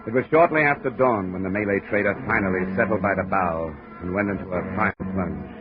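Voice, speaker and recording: An American actor playing a tough, wisecracking insurance investigator in a 1950s radio drama, clipped and world-weary. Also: male voice, deep voice, a little fast, over music, lightly acted. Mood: tense, grim narrating. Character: hard-boiled, wisecracking male insurance investigator. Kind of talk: 1950s radio detective drama acting and narration. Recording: noisy, muffled 1950s radio recording.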